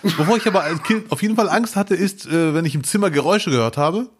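A man's voice: fairly high pitch, fast talker, husky. A man co-hosting a podcast, telling childhood stories in casual chat and laughing often. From Bielefeld, Germany. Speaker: podcast co-host.

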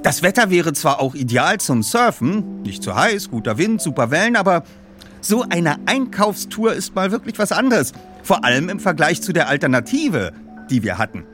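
A man voicing an enthusiastic, joking teenage boy detective in audiobook dialogue, talking in a lively, colloquial way. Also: fairly high pitch, normal speed, soft background music, pitched above the performer's own voice.

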